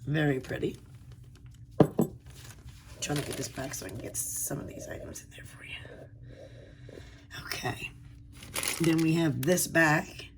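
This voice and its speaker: A woman with a hoarse voice from a cold, hosting a casual unboxing video and gushing softly over pretty items. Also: sits low, lively intonation, unhurried, pauses often, in a whisper. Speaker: unboxing channel host.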